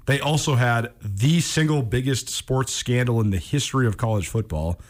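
A male American sports radio host with a mid-pitched voice. He chats casually and tells stories with conversational emphasis. The setting is a radio studio. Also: normal speed. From Montana, United States. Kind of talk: casual radio chat, storytelling.

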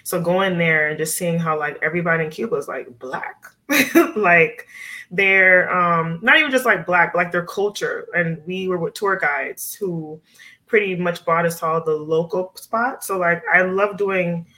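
A woman's voice: on the low side, unhurried, pushed and bright. A woman in her thirties from Miami, a nurse practitioner chatting casually as a podcast guest and using lots of "like" and "you know". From Miami, USA. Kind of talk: casual interview chat.

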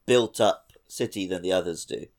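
A man with a fairly low voice co-hosts a gaming podcast. He is chatty and casual, and he drifts happily into tangents as he talks. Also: normal speed, a little mellow. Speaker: podcast co-host.

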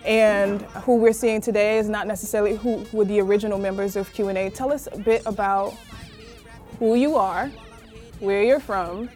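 A woman's voice: mid-range voice, moderate pace, soft background music. Playful woman hosting a radio podcast. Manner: playful, lively wordplay. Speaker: podcast host. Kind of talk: live studio interview. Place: radio studio.

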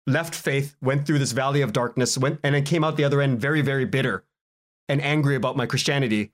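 A man speaking casually as a podcast guest, in a halting, rambling way, often repeating words and cutting himself off. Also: medium pitch. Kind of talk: casual interview conversation.

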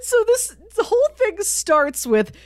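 A woman's voice: extremely high pitch, big pitch swings, a little slow, dry recording, clean studio sound. A woman co-hosting a podcast, talking casually through a TV show and acting out imagined lines as she explains. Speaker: podcast co-host. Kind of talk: casual conversational TV show discussion.

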